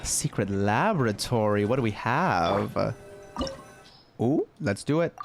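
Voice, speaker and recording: A man's voice: on the low side, expressive, slow, vocal fry. A young man casually commenting while playing a story game, reading prompts aloud with wry asides. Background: game music.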